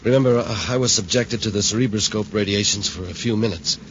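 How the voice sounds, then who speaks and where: An actor playing a frightened, overwrought scientist who stammers urgently, in 1950s radio serial style. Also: male voice, lowish voice, quick pace, close to an everyday voice. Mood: urgent, agitated. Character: rattled, frightened male scientist doctor, inventor of a brain machine. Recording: noisy, muffled 1950s radio recording.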